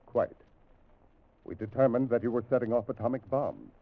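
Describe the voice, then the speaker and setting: An actor playing a stern alien spaceship captain in an old radio drama, speaking in formal, measured, imperious declarations. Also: male voice, medium pitch, natural voice. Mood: grave, instructive. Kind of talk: 1950s radio science fiction drama acting. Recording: muffled, tinny old radio recording.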